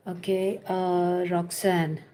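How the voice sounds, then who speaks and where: Slow, low-voiced woman teaching crochet. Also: little pitch movement, warm tone, slight background noise. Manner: counts stitches aloud and gives patient step-by-step instructions; chatty asides with viewers. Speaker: crochet teacher and livestream host. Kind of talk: live crochet tutorial.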